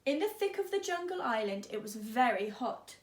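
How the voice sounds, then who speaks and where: A woman teacher reading a children's story aloud, expressively and deliberately, drawing out words. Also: fairly high pitch, big pitch swings, reverberant.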